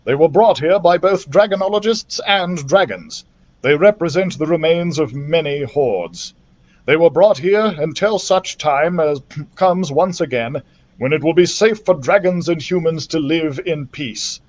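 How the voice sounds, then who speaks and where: A man reading a storybook part as a learned older dragon scholar, deliberate, emphatic and commanding. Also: fairly high pitch, lightly acted. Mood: solemn.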